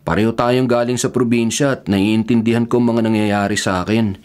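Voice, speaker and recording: A man's voice: medium pitch, fairly even intonation, brisk, a little mellow, smooth, close to an everyday voice. An actor playing an earnest, troubled young male student in a Filipino radio horror drama. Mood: worried, earnest. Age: young adult.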